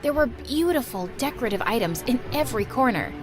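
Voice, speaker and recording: A woman acts as a kind, slightly nervous teenage girl telling her life story for a cartoon. Her voice is high and very animated, rising and falling over a clear music bed. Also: brisk, natural voice. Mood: bright storytelling. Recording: music under the voice.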